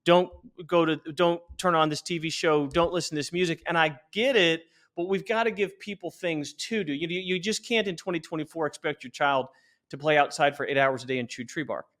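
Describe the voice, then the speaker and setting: Male interview guest, middle-aged, fairly high voice, emphatic and conversational founder pitch. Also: a little fast, a bit bright.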